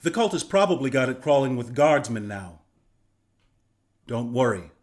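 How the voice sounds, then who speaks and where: A man plays a young griffin rebel from a video game, a former soldier. His medium-pitched voice is conversational and earnest. He speaks plainly, like someone explaining a plan to a comrade. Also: big pitch swings, normal speed, natural voice. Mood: purposeful, explaining. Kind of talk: video game character dialogue.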